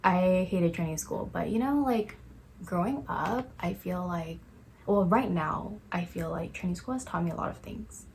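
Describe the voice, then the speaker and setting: A woman's voice: lowish voice, normal speed, vocal fry, a little hiss or hum, rough recording. A young woman co-hosting a casual podcast chat. She has a mixed Malaysian-Taiwanese accent and tells stories with lots of fillers and laughter. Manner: laughing storyteller, chatty with many fillers. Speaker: podcast co-host.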